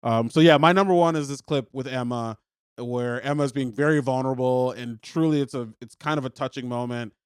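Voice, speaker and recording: A podcast co-host with a smooth, clean voice at an ordinary male pitch. He talks loosely and jokes, often sinking into quieter trailing asides. The studio sound is dry and close. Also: a little fast, no room sound. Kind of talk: casual chat.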